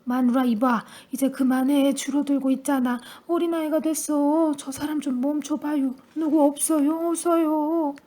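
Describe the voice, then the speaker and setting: A stern old grandfather voiced by a woman who scolds in a firm, even tone. The voice stays high and mellow, carrying the weight of an elder in a read-aloud storybook. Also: normal speed, few pauses, lightly acted. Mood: alarmed. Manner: stern, scolding, then agitated.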